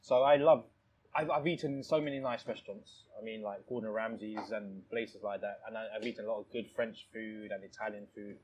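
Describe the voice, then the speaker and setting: A man's voice: on the low side, steady tone, brisk, darkish tone, husky, low-quality recording. A man telling stories casually in conversation, rambling and repeating phrases, with frequent "you know". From born in Thailand, lives in London. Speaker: restaurant owner, interview guest.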